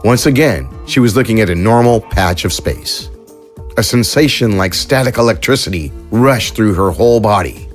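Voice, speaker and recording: A man narrating a science fiction story in a calm, measured way, then reading the credits. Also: fairly low pitch, soft background music.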